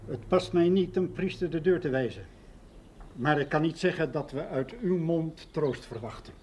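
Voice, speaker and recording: An actor playing a dignified grieving father, formal and measured, quietly reproachful, in a television period drama. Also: on the high side, close to an everyday voice. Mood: stiff, cold. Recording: faint background hiss, 1970s television soundtrack.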